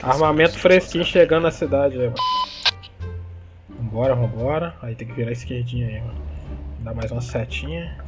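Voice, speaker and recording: A young Brazilian man with a Brazilian Portuguese accent, chatting excitedly and joking while playing a truck game online. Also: mid-range voice, brisk, pauses often, over music. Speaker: gaming YouTuber. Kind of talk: casual gameplay commentary.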